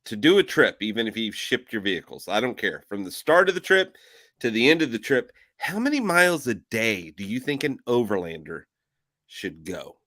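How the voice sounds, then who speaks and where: A man hosting a casual overlanding talk show, joking and energetic, circling back and repeating himself as he puts questions to his co-host. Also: mid-range voice, moderate pace, dry recording, clean studio sound. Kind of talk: casual podcast chat.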